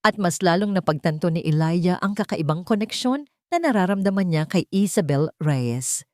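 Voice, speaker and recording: Female Filipino narrator for romantic drama audio story, slightly low register, close dry recording. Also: lively intonation, normal speed, darkish tone, no echo.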